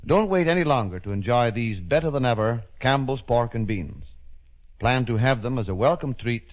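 A dull vintage radio recording of a man announcing in 1930s style. His voice is mid-pitched. The scripted delivery is formal and polished. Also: animated, moderate pace.

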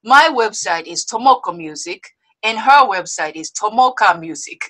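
A woman from Osaka with a Japanese accent, hosting a video-call interview show in a bubbly, excitable way, laughing often. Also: mid-range voice, normal speed. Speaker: host. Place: indoors before a movie-themed backdrop. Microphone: webcam video call.

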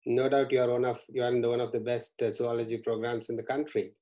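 A middle-aged man interviewing a student over a video call from a small room at home, speaking in a measured way and often repeating words. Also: mid-range voice, flat delivery, warm tone. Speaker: interviewer, university staff. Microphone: video call webcam.

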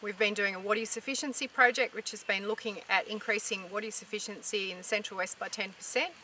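Bright-voiced middle-aged Australian woman, outdoors. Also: medium pitch, steady tone, fast talker. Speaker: farming group representative. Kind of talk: informative interview talk. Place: outdoors in open dry farmland. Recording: distant mic, outdoor noise.

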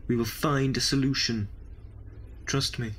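A man playing a brisk, eccentric time traveller in an amateur audio drama, flippant and commanding. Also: medium pitch, steady tone, noisy background, lightly acted. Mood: urgent.

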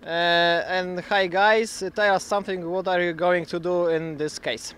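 A man's voice: high voice, little pitch movement, measured pace, fairly bright tone, smooth. Upbeat man interviewing outdoors. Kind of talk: casual on-site interview. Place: outdoors at a training ground. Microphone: handheld recorder held out.

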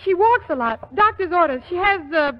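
Very high flustered fiancée, old radio. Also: female voice, expressive, normal speed. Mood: flustered, nervous. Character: flustered fibbing young fiancée. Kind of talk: 1940s radio comedy acting. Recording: dull 1940s radio recording.

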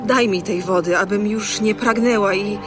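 A woman's voice: mid-range voice, normal speed, lightly acted. A woman playing a curious, questioning villager of ancient times in an audio Bible drama, speaking earnestly. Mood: earnest, respectful. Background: music.

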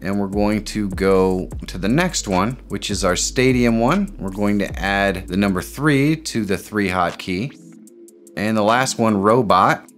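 Lower-pitched man teaching software. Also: measured pace, faint music behind. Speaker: tutorial host. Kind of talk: step-by-step software tutorial. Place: small home studio room.

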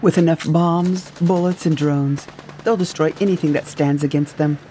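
A woman's voice: deep voice, fairly even intonation, moderate pace, mellow, a little hiss or hum, close to an everyday voice. An actress playing a defiant, fretful woman rebel in love in a sci-fi audio drama. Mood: tense.

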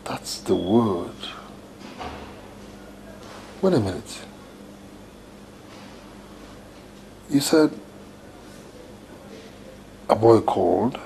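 A man's voice: lowish voice, expressive, vocal fry, noisy background, full character voice. A Nigerian man acting an agitated husband in a domestic film drama, emphatic and repeating himself. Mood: incredulous. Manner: emphatic, repetitive, deliberate. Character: agitated, dramatic husband worried for his brother.

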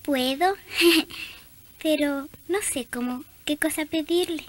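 A woman's voice: high voice, takes it slowly. A woman playing a shy, eager little girl in a radio drama, with a simple childlike way of talking. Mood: shy eagerness. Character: shy, eager younger girl learning to pray.

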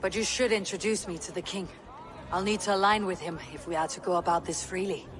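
An actress playing a loyal, blunt Viking warrior woman in a video game, measured, stern and commanding. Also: on the low side, steady tone, crowd noise, lightly acted. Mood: grave, resolute. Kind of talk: video game cutscene acting.

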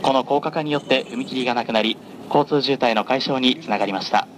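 Male train announcer over clear carriage noise. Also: mid-range voice. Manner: formal, measured, polite. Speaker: train conductor making onboard announcements. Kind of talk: formal scripted train announcement. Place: onboard a running train, over the announcement system. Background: train running noise.

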